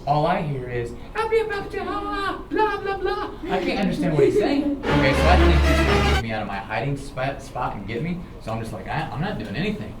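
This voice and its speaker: An American man tells informal personal stories in an animated, dramatic way, often checking in with his listeners. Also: fairly high pitch, brisk, hardly any pauses, loud music behind the voice. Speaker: storyteller, show host. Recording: roomy, distant, clearly noisy recording.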